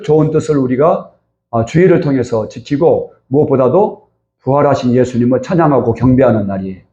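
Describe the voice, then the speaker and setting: A man's voice: fairly high pitch, mellow, muffled recording. A male pastor preaching a Sunday sermon in a church, putting questions to the congregation and calling for amens. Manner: preaching with rhetorical questions to the congregation and calls of amen. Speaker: senior pastor. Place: church sanctuary. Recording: roomy church echo.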